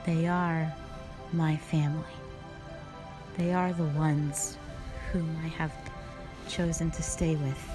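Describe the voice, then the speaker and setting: A woman playing a stern, calm, duty-bound dragon guardian, speaking formally and solemnly in a scripted roleplay audio drama. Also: deep voice, over music. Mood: stern, resolute. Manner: measured, solemn, formal phrasing.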